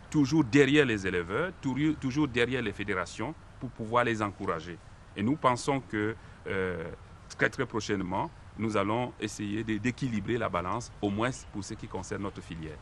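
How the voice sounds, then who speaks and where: A man with a Cameroonian accent being interviewed formally, speaking in deliberate, sometimes hesitant phrases as an industry representative. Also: lowish voice, slight background noise. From Far North region of Cameroon.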